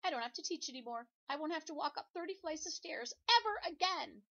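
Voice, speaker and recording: A woman voicing a mean, witch-like female schoolteacher who shrieks and shouts. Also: expressive, quick pace, lo-fi sound. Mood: gleeful. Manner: shrill and shouting.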